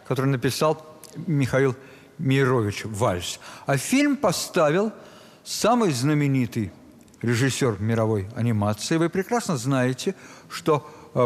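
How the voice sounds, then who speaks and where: Older male presenter in a reverberant hall. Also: mid-range voice. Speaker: concert presenter. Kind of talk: stage introduction to a live audience. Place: large concert hall stage. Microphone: handheld-type mic on a stand, close. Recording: long concert hall reverb.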